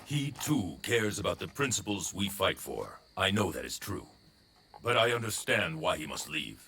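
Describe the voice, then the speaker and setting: An actor playing a commanding, courtly warlord in a video game, with formal, theatrical delivery. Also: male voice, low-pitched, sing-song, normal speed, gravelly, sharp tone, slight background noise, exaggerated voice. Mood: reflective. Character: commanding male warlord, courtly, reflective and persuasive. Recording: music behind the voice.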